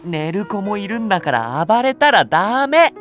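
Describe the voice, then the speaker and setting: An actor playing a gentle, patient young male nursery teacher, speaking in a soft, soothing way. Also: sits high, big pitch swings, moderate pace, loud music behind the voice. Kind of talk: anime voice drama acting.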